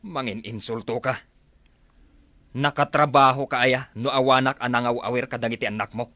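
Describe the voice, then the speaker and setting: A man's voice: mid-range voice, fairly even intonation, normal speed, pushed and bright, dry recording, studio quality, close to an everyday voice. A man with an Ilocano accent playing a suspicious, grumbling husband who needles and argues in a radio drama. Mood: suspicious, irritated. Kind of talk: Filipino radio drama acting.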